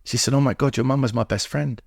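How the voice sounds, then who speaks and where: A middle-aged man tells a personal story as an interview guest. His voice sits at an everyday male pitch and is recorded dry and close. He acts out bits of dialogue as he goes. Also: fairly even intonation, fast, gravelly, darkish tone, no echo. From England. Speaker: podcast guest.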